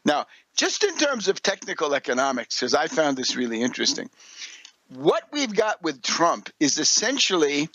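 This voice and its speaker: Male radio commentator, slightly high, dry sound. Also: sharp tone, no echo. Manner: lecturing, didactic, with rhetorical questions and check-ins. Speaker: radio show host and political commentator. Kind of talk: political and economic commentary monologue.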